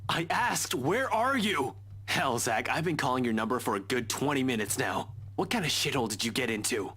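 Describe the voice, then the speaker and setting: Husky, frustrated young cop. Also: male voice, medium pitch, animated, normal speed, sharp tone. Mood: frustrated, urgent. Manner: blunt, urgent, swearing. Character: anxious, frustrated young male cop, blunt and protective. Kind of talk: visual novel game acting.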